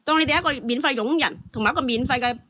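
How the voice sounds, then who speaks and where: Woman panelist. High-pitched and rapid, emphatic scolding in a heated advice debate. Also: bright, forceful tone, a little hiss or hum.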